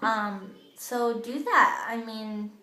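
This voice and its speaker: A woman's voice: on the high side, very slow, bright, forceful tone. Childish-voiced woman on an echoey phone. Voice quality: childish-sounding voice. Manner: chatty, with many ums and you-knows. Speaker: direct-sales makeup team leader. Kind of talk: casual training talk to her sales team. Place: home bathroom. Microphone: old smartphone camera.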